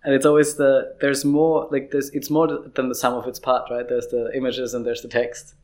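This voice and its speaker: A man's voice: mid-range voice, monotone, moderate pace, darkish tone. Rambling, easygoing man. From Austria. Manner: rambling, restarts often, laughs easily. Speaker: illustrator, interview guest. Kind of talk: casual interview chat.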